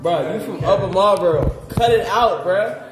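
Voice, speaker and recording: A man's voice: sits high, normal speed. A young man hosting a casual livestream, talking in slang and cutting in over his guest. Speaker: streamer host. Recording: echoey room with music playing. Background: music.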